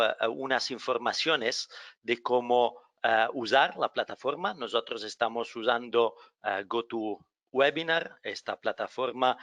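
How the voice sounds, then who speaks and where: A man whose first language is Italian hosts a medical webinar in welcoming, slightly halting Spanish on a video call. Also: mid-range voice, fairly bright tone, dry recording. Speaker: webinar host for a medical company.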